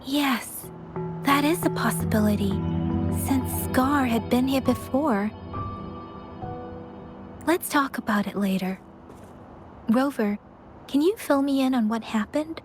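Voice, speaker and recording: A woman's voice: sits high, takes it slowly, pauses often. An actress voicing an earnest, protective young female warrior companion in a video game, with a concerned manner. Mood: thoughtful. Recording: music behind the voice.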